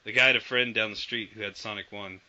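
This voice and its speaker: A man co-hosting a casual video game podcast, animated and swearing freely as he acts out old quotes. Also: lowish voice, quick pace. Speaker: podcast co-host. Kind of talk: casual chat about video games. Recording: dull lo-fi podcast audio.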